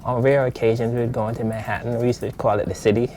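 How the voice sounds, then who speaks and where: A man's voice: medium pitch, little pitch movement, quick pace, mellow, a little hiss or hum. A man in his fifties from Jamaica, reminiscing casually in an interview as a long-time resident. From Jamaica, moved to New York at sixteen. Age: 50s.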